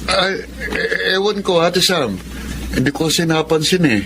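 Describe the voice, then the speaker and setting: Fairly high young male voice, mooching brother-in-law, Filipino accent, slangy, offhand, radio drama, noisy. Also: normal speed. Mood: evasive, impatient.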